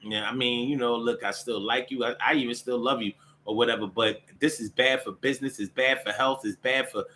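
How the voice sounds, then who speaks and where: A man's voice: medium pitch, steady tone, a little fast, hardly any pauses, bright, forceful tone. An American man giving a casual, opinionated solo monologue in streetwise slang, repeating phrases for emphasis. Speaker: solo show host.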